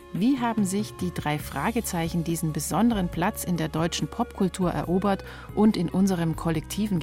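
A woman in her forties with a fairly low voice narrates as a reporter. Her delivery is scripted documentary narration, with faint music underneath. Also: mellow. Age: 40s.

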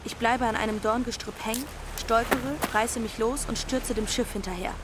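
Brief: woman as stubborn, dreamy teen runaway, pert radio drama delivery, loud engine and water behind. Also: fairly high pitch, fairly even intonation, moderate pace, very noisy, close to an everyday voice. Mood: reflective narration. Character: cheeky, dreamy teenage runaway girl, restless and stubborn. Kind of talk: radio play acting and first-person narration.

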